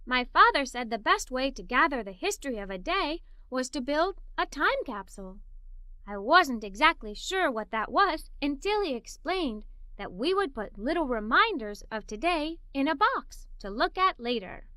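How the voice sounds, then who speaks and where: Woman playing a high, bright, curious little girl. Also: measured pace, dry recording, heavily acted. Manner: animated, expressive, wide-eyed. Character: curious, excitable young girl narrating her adventures. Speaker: storyteller. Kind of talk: scripted children's story read-aloud.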